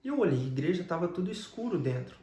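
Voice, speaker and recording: A man's voice: a little high, sing-song, quick pace, mellow. A man with a Brazilian Portuguese accent tells a personal religious testimony as a guest, in conversational storytelling. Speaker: guest telling his life story. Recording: echoey, reverberant room.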